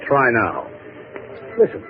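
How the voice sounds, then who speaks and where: An actor playing a cold, menacing American gangster boss, terse and controlled, in 1950s radio style. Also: male voice, medium pitch, wildly swooping pitch, takes it slowly, over music. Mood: taunting. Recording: tinny old radio broadcast.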